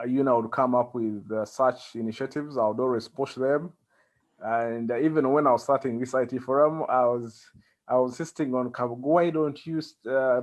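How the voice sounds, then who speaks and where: Male interview guest, Ugandan accent, mid-pitched, conversational, halting delivery with plenty of pauses. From central Uganda. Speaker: interview guest, community organizer.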